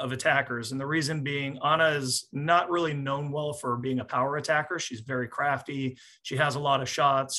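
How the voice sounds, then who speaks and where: A man in his forties with a bright, forward voice at a middling pitch. He talks like a coach after a match, explaining steadily and in measured phrases. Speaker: volleyball coach. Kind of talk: post-match press conference answers. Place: small indoor office, on a video call.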